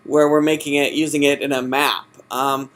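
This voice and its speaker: A man answering as a job candidate in an informal interview, halting and often correcting himself. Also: on the high side, little pitch movement, fairly bright tone. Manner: halting, hesitant, self-correcting. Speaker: job candidate in user experience design.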